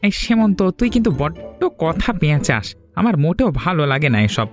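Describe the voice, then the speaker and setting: A man's voice: a little high, very animated, a little fast. A man voicing a mischievous, scheming villager in a cartoon, with a mocking, boastful way of talking. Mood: impatient. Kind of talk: Bengali cartoon voice acting. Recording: music under the voice.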